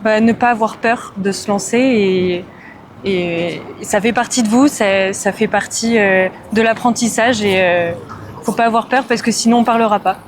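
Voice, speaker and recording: A woman answers a street interview in a reflective, casual way. Her middle-pitched voice hesitates and repeats as she thinks, with faint noise behind. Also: normal speed. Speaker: passer-by being interviewed.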